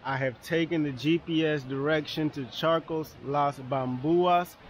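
A man's voice: on the high side, fairly even intonation, a little slow. A young man talking casually to camera outdoors in a colloquial, easygoing way, as a travel vlogger. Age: young adult. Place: outdoors by a roadside and river waterfall. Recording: outdoor camera audio with ambient noise.

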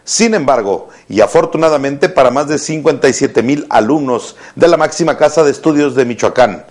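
Mexican male news anchor, mid-pitched. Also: expressive, normal speed, darkish tone. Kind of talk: scripted news presentation. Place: TV news studio.

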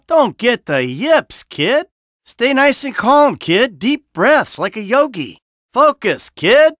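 An actor playing a weary, sardonic, hot-tempered middle-aged American criminal in a video game, grumbling and foul-mouthed. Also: male voice, high-pitched, a bit bright, dry recording, clean studio sound, exaggerated voice. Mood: coaxing. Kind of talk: acted video game voice lines.

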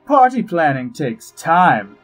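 An actor playing an urbane, wry, scheming ambassador in a fantasy audio drama, droll, precise and pompous, with a husky voice. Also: male voice, fairly high pitch, very animated, slow pace. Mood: wry, indignant. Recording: music behind the voice.

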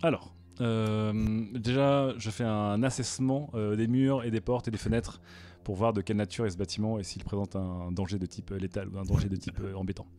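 A man playing a tabletop game, speaking in a measured, mock-tactical way into a headset mic. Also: on the low side, steady tone, warm tone. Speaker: player.

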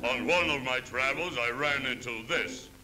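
A man playing a huge, boastful, swaggering sailor villain, gruff and booming, in rhymed 1930s cartoon style. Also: normal speed, natural voice, low-quality recording. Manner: pompous, theatrical. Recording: old 1930s film soundtrack, loud music behind. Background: loud orchestral music.

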